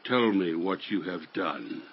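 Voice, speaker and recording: An actor playing a stern warrior king in a dramatized audio Bible, declaiming imperiously with drawn-out phrases. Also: deep voice, expressive, muffled recording, slight background noise, full character voice. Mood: grave. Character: stern, commanding warrior king of ancient Israel.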